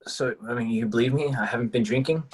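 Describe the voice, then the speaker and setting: A man reads an earnest, uneasy high school boy in a film drama. The voice is husky and mid-pitched, with a hesitant, sincere delivery. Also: quick pace, natural voice, rough recording. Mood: hesitant, relieved. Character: nervous, earnest religious male high school student. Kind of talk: table read of a film script.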